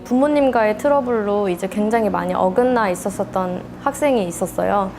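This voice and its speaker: A woman's voice: medium pitch, a little fast, music in the background. A woman tutor giving a sincere, reflective account in a testimonial interview. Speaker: tutor at an education company.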